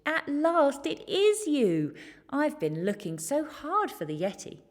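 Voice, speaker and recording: A woman's voice: sits high, big pitch swings, slow pace, voice pushed up, heavily acted. A woman voicing an eager, plucky young boy explorer in a children's storybook, earnest and polite. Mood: excited.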